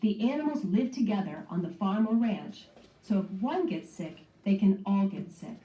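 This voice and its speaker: A woman's voice: medium pitch, sing-song, normal speed, warm tone. A woman narrator carefully reading a scripted educational text for children. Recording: muffled playback in echoey room, distant.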